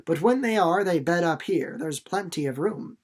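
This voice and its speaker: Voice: mid-range voice, expressive, moderate pace, close to an everyday voice. A narrator voicing a plainspoken, easygoing middle-aged country mother in a storybook, with a folksy, matter-of-fact manner.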